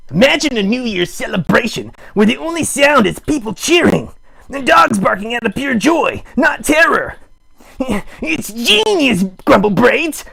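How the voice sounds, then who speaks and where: A man voicing a silly, excitable puppet schemer in an improvised puppet show, with a raspy, gravelly voice and animated, mock-serious delivery. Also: extremely high pitch, heavily acted.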